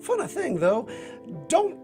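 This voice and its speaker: Casting: cocky drawler, folksy radio-play troublemaker, very animated delivery, some music behind. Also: male voice, extremely high pitch, takes it slowly, heavily acted. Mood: folksy, sly. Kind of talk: 1940s radio acting.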